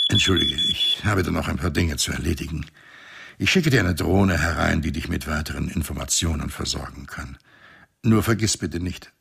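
Low, gravelly, husky cosmic storyteller. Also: male voice, fairly bright tone, exaggerated voice. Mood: friendly. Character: genial, ancient once-human male mind of space station. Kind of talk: storytelling narration in science fiction radio play.

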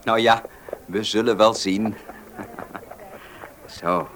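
A man's voice: lowish voice, steady tone, very slow, a bit bright. A man playing a country suitor on horseback in a radio drama. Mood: soothing, musing. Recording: noisy radio scene with clicks.

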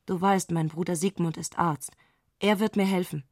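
An actress playing an eccentric, excitable woman speaking an intimate letter in intense, abrupt short sentences, in a literary radio play. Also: lowish voice, normal speed, no echo, clean studio sound. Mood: restless recounting.

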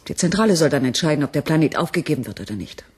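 An actress playing a decisive colony leader in a radio play, speaking with measured, resolute authority. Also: female voice, deep voice, animated, a little mellow, breathy edge, full character voice. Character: firm, decisive female head of a space colony. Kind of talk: 1980s German radio play acting.

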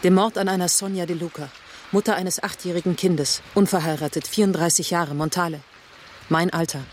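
An actor, a man, playing a tough, driven police investigator in their thirties in a radio crime drama, with a sharp, sarcastic, interrogating manner. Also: sits high, steady tone, brisk, slight background noise, lightly acted. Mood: confrontational.

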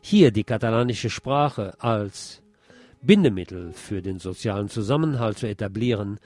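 A man's voice: fairly low pitch, animated, normal speed, a little mellow. A man hosting a radio magazine, reading scripted news reports in a presenter's role. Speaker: radio host and presenter, also an author.

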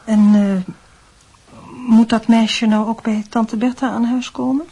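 An actress playing a middle-aged mother in a radio drama, fond but gently reproachful, asking measured, probing questions. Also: on the high side, steady tone, husky.

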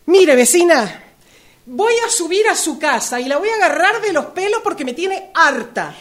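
Woman acting a shrill, exasperated Argentine neighbour lady. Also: very animated, normal speed. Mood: furious. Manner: scolding, indignant, complaining. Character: exasperated, short-tempered downstairs neighbour woman guarding her laundry. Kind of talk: amateur radio play acting. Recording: amateur workshop recording with background noise.

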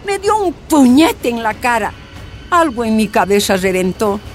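An actress narrating as a miner's wife recounting her torture in a radio drama, grave and measured. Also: a little high, very animated. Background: soft music.

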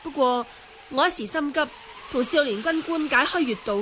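Very high-voiced young martial-arts heroine. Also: female voice, normal speed, a bit bright, a little hiss or hum. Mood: explaining. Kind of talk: Cantonese radio drama acting.

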